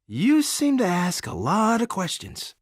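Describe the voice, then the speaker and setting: An actor playing a smug, flippant defense attorney in a video game, giving sly, teasing deadpan answers. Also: male voice, high voice, unhurried, no echo, clean studio sound, exaggerated voice. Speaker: defense lawyer.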